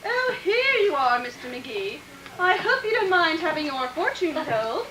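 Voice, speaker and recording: An actress playing a fluttery, giggly high-society matron in a gushing, affected 1940s radio comedy manner. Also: female voice, sing-song, measured pace, bright, forceful tone, distant mic, full character voice. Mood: flustered, gushing. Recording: echoey stage from afar, faint noise.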